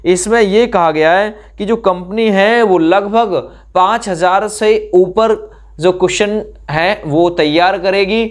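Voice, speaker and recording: A man's voice: high voice, slow. A man presenting an exam update, explaining step by step with frequent check-in tags.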